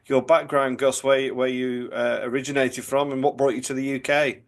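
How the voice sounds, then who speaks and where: A man's voice: medium pitch, little pitch movement, normal speed. A man, a priest hosting a podcast, who asks his guest questions in a friendly, inviting way. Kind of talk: interview hosting.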